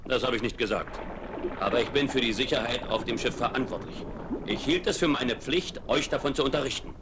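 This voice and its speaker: A man's voice: medium pitch, brisk, natural voice. A man playing a blunt, stern ship captain. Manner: blunt, formal, serious. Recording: hissy 1970s vinyl radio play.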